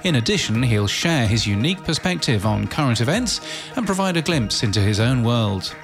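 A man presenting a scripted news bulletin in a crisp headline style with brief dry asides. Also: mid-range voice, very animated, a little fast, over music.

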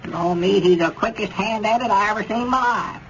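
Gullible old country storekeeper, high voice, rural Southern drawl, rambling, folksy, muffled old radio comedy. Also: male voice, a little fast, a bit bright. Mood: earnest, chatty. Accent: rural American South. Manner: rambling, folksy, repeats himself. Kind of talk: 1930s radio comedy acting. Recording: dull, noisy 1930s radio recording.

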